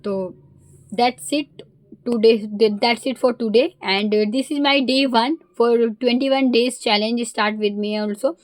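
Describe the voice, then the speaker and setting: A woman with an Indian accent, a Hindi native speaker, talking casually in halting learner English mixed with Hindi. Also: on the high side, dry recording. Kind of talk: casual vlog.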